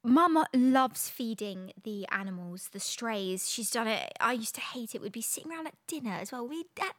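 A young woman from England chats casually as a podcast host. She tells stories in a lively, easygoing way and laughs easily. Her voice sits slightly high. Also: moderate pace. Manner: chatty storyteller, laughs easily. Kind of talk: casual chat.

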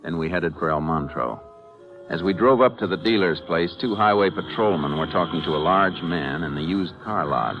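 An actor playing a calm, terse American Texas Ranger in a 1950s radio drama, speaking with deadpan authority. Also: male voice, low-pitched, normal speed, music in the background. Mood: steady, narrating. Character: calm, commanding male Texas Ranger investigator. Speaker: lead actor and narrator. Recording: vintage 1950s radio broadcast recording.

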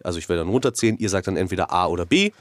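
A man's voice: low voice, expressive, very fast, dry recording, clean studio sound. A man hosting a game show, explaining the rules of the next round in an orderly way. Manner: clear, orderly explaining with small fillers.

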